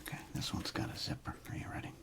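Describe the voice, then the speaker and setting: A man doing whispered ASMR, murmuring casually to himself in an unhurried way. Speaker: ASMR host.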